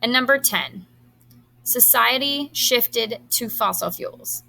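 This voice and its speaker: A woman, a high school teacher, reads quiz questions aloud to students, enunciating each item deliberately and adding brief instructions. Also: creaky, sharp tone, low-quality recording.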